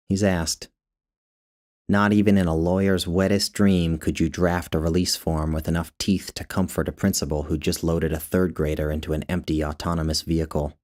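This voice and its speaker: A man narrating his own literary short story in a measured, deliberate way, drawing out phrases. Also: low-pitched, darkish tone.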